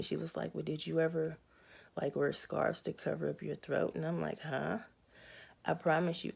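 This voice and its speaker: A young adult woman with a raspy, hoarse voice, giving a candid, matter-of-fact personal health update to her viewers. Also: low-pitched, mellow. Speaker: vlogger.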